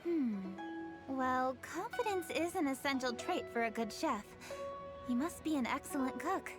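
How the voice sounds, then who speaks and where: A woman's voice: high-pitched, lively intonation, unhurried, music in the background. A woman playing a poised, thoughtful young noblewoman in a video game, speaking in a composed, articulate and gracious way. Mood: curious. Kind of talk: video game character acting.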